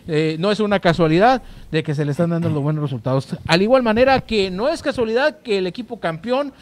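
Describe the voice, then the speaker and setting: Man, high voice, sports round-up, halting delivery, handheld mic. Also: expressive. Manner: halting, hesitant, repeats words. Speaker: sports show co-host. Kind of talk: sports news round-up and commentary. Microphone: handheld microphone, masked speaker.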